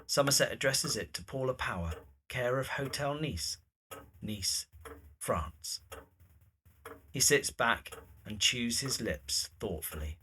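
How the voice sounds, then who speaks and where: A man's voice: mid-range voice, lively intonation, slow pace, pushed and bright, breathy edge, low-quality recording. A man narrating the scenes of an audio drama from a script.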